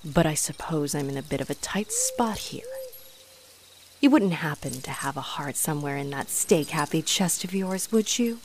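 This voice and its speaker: A woman's voice: low-pitched, big pitch swings, normal speed, a little hiss or hum. A woman playing an aggravated, haughty, flirtatious female vampire in a scripted audio roleplay, with mocking, theatrical delivery. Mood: grudging. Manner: mocking, sardonic, theatrical taunting.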